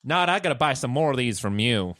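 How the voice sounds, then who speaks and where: A man's voice: medium pitch, expressive, fast talker, a bit bright, dry recording, clean studio sound. A man playing a tabletop game and chatting casually about his moves.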